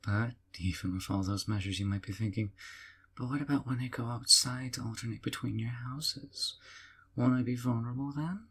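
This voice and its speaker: An actor playing a sweetly possessive young yandere man in an audio roleplay, doting and softly teasing. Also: mid-range voice, normal speed, breathy edge. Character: doting obsessive young male yandere captor.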